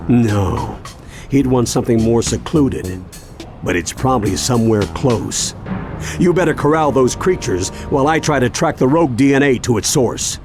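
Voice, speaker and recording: Cartoon game mentor , male actor: elderly grandfather, protective, purposeful, expressive middle-register delivery. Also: mid-range voice, normal speed, music in the background, natural voice. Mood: steady, encouraging. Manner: avuncular, purposeful. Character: steady, protective old grandpa adventurer. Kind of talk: cartoon video game acting.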